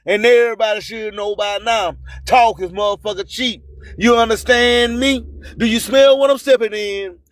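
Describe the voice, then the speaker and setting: High-pitched man, slow rhyming radio host. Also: dry recording. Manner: emphatic, boastful, preacher-like proclaiming with drawn-out phrases. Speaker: radio host and music promoter. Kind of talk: rhyming spoken-word radio monologue.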